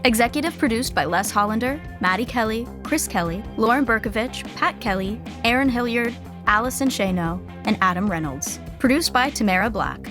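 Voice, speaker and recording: A woman's voice: on the high side, animated, fairly quick, close to an everyday voice. A woman narrating a scripted audio sitcom as a wry storyteller who comments on the action. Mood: plain credits. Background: music.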